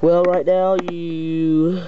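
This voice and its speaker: High-pitched man making CB radio calls. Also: flat delivery, very slow. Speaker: CB radio operator, video maker. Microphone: camera mic beside a CB radio set. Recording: dull sound, clear radio static. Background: radio static and clicks.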